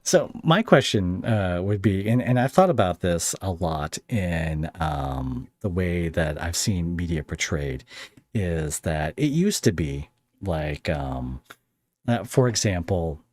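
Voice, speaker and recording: A man's voice: low-pitched, animated, slow pace, a little mellow. A man hosting a podcast in casual interview chat, speaking in a hesitant way as he thinks aloud. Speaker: podcast host.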